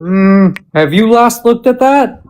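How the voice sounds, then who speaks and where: A man's voice: sits high, lively intonation, takes it slowly, darkish tone, slight background noise. A man on a live panel debate who challenges and pushes back. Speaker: panel guest. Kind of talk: casual live-stream debate chat.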